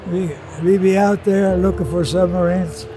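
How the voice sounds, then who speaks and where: An elderly man from Norway with a Norwegian accent, slowly recalling his wartime service as a veteran in an interview. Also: sits high, fairly even intonation, background noise. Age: older. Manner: slow, measured recollection. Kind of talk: interview testimony. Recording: music and crowd behind.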